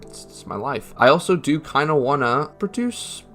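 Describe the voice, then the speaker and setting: A man's voice: on the high side, expressive, moderate pace, fairly bright tone, soft background music. A man giving a casual, joking voice-over update in a sweary, self-mocking and informal manner. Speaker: channel creator and host.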